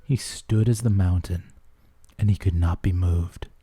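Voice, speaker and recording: A man narrating a rhyming folk tale as a storyteller, theatrical and expressive. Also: deep voice, unhurried, slightly rough, dry recording.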